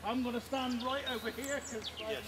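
A man presenting a longbow display at an outdoor medieval fair, shouting drill commands and teasing the crowd, recorded from a camera some way off. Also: extremely high pitch, fairly even intonation, moderate pace. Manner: loud commanding drill calls, teasing the audience. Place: open field at a medieval fair. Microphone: far camera, no mic.

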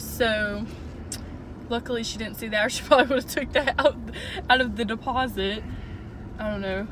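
A woman's voice: on the high side, fairly even intonation, moderate pace, a bit bright. Young woman, talkative car vlog, dashboard phone mic, light background hum. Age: young adult. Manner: chatty, rambling, many "um" and "like". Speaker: vlogger. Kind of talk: casual vlog chat. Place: inside a parked and moving car. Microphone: phone camera on the dashboard.

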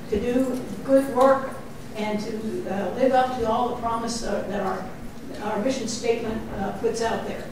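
A middle-aged woman introduces a speaker with brisk, teasing humor, her voice a little high. She sounds distant from the mic, in a very echoey room with noticeable background noise. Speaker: board member introducing the speaker. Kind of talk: event introduction. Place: indoor lecture room with audience. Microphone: handheld microphone.

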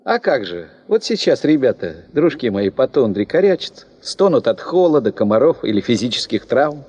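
An actor playing a plain-spoken, philosophical gold digger in a 1970s Soviet radio drama, familiar and forthright. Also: male voice, medium pitch, very animated, moderate pace, darkish tone, slightly rough, highs cut off. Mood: cheeky, forthright.

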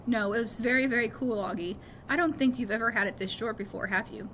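A woman's voice: on the high side, fast talker, a bit bright, slight background noise, lightly acted, low-quality recording. A middle-aged woman voicing an affectionate, easygoing father in a storybook, coaxing and emphatic. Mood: fond.

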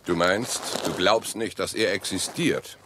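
An actor playing a needling, evasive husband in a radio play, with a dry, measured, goading manner. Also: male voice, low-pitched, background noise.

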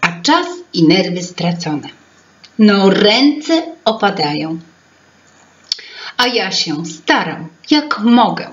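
Woman acting storybook memory sprite, female, self-important, bustling, musing, slow delivery. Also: medium pitch, very animated, muffled recording. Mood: fretful, busy. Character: proud, brisk, chattering female keeper of memory, fairy-tale sprite.

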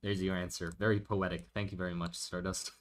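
A man's voice: low voice, flat delivery, brisk, a little hiss or hum. A man chatting casually on a livestream while he draws, thinking aloud and answering viewer questions with many restarts. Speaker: streamer drawing a fantasy world.

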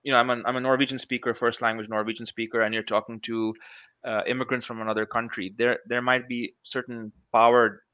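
Man in his thirties, interview guest over headset; forceful bright voice, halting and thoughtful, dry lo-fi call sound. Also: mid-range voice, flat delivery, no room sound. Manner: thoughtful, many restarts and fillers. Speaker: guest researcher. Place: small room at home.

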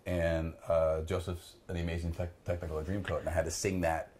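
A man's voice: low-pitched, little pitch movement, fairly quick, darkish tone. A man in his thirties with a New York accent, rambling and hesitant with many restarts, in comedic banter on a couch. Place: living room, indoors.

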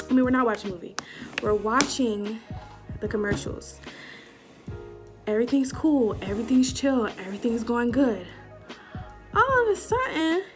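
A young woman telling a story to camera in a casual vlog, animated and slangy, acting out what people said, recorded in a small room on a camera at arm's length. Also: on the high side. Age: young adult. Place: small indoor room before a curtain. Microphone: webcam or phone at arm's length.

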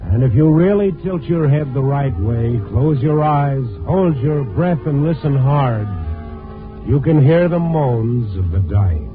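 An actor playing a wry, world-weary night-beat newspaper reporter in a 1950s radio drama, with a terse, hard-boiled delivery. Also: male voice, medium pitch, expressive, a little slow, music in the background, close to an everyday voice. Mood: brooding. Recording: tinny 1950s radio recording.

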